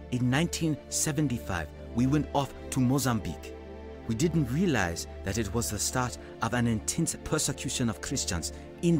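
Actor as humble African evangelist, expressive storyteller. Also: male voice, mid-range voice, lightly acted. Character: humble, self-doubting young African evangelist telling his story. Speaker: narrator and lead actor in a radio drama. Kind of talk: dramatised first-person narration.

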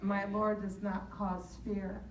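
An older woman giving an earnest testimony in church, speaking deliberately and with emphasis. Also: mid-range voice, level tone. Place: large church sanctuary. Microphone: handheld mic, picked up by distant camera. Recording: echoey church from far off, faint noise.

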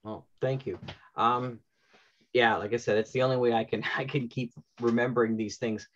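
A middle-aged man with an average-pitched voice leads a casual panel chat. The audio is a bit dull and lo-fi, like a video call. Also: steady tone, normal speed, a little hiss or hum. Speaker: panel moderator, writer. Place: room at home, indoors, on a video call.

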